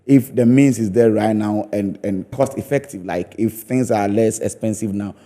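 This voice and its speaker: Low, dark-voiced Ghanaian man. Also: normal speed. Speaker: guest, stage play producer and theatre practitioner. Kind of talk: studio interview, opinionated discussion.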